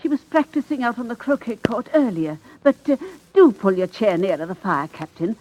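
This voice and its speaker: A woman's voice: fairly high pitch, sing-song, moderate pace, a little mellow, a little hiss or hum, rough recording. A woman playing a genteel English mother and polite, anxious hostess in a suspense radio drama, speaking hesitantly. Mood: polite unease. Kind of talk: old-time suspense radio drama acting.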